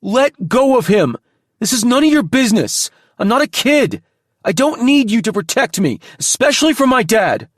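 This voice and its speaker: A man voicing a defiant young son who pleads and shouts in a high voice. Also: normal speed, dry recording, studio quality, natural voice. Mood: pleading.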